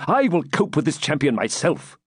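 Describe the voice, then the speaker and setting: A man's voice: a little high, big pitch swings, moderate pace, husky, dry recording, studio quality, voice pushed up. A man voicing a proud, stern Norman knight in an audiobook, with theatrical archaic speech. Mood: furious rallying. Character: defiant proud Norman knight commander, beaten into sullen submission.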